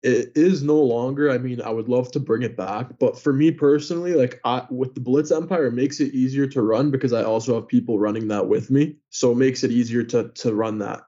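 A young man born in Greece chats easily as an interview guest, filling his answers with ums and likes. His voice sits at an ordinary middle pitch. The audio comes through a little dull and muffled. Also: steady tone, a little fast, few pauses, dark tone. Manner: chatty, many filler words. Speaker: guest, hockey player and sports news account runner.

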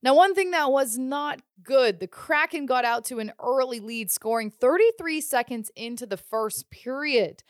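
A woman's voice: high voice, lively intonation, normal speed, hardly any pauses, no room sound, studio quality. A woman hosting a sports show, delivering a scripted recap of the action.

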